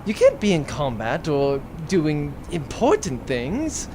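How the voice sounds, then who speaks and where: A man's voice: fairly high pitch, wildly swooping pitch, takes it slowly, mellow, slight background noise, voice pushed down, exaggerated voice. A man voicing a pompous, chauvinist nobleman with a florid, condescending manner in a comic space adventure. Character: pompous, chauvinist nobleman in love, rebel duke.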